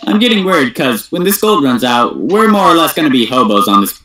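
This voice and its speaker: A man's voice: on the high side, sing-song, brisk, a bit bright. A man voicing a cocky, scheming young gamer in an anime fandub, taunting and sarcastic. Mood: smug, bargaining. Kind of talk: anime fandub script reading. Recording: echoey room, faint background noise.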